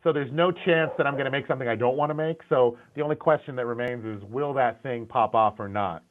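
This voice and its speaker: A middle-aged man in a casual interview speaks candidly and with energy, often restarting mid-sentence. His voice sits at an ordinary pitch. The sound is thin and tinny, like a video call over a phone line. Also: quick pace. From Syrian, grew up in the US. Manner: candid, animated, frequent restarts and repeated words. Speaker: guest, concept art and animation director.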